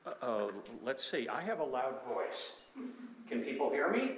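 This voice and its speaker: Older male scientist, emphatic unhurried lecturer, distant and boomy room sound. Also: very animated, heavy background noise. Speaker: emeritus professor speaking at a symposium. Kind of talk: academic presentation. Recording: very echoey hall, voice from far off the mic.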